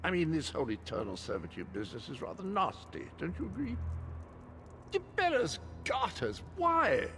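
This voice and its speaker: An actor playing an eccentric, cheerful old British gentleman knight in a video game, with jaunty, pompous phrasing. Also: on the high side, huge pitch swings, normal speed, noisy background. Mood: grand, chatty. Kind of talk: video game character acting. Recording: music behind the voice.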